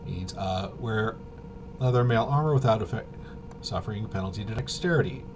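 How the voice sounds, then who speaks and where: A man casually explaining game rules unscripted, halting and rambling as he thinks aloud. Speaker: tabletop RPG reviewer and explainer. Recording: clearly noisy with low rumble.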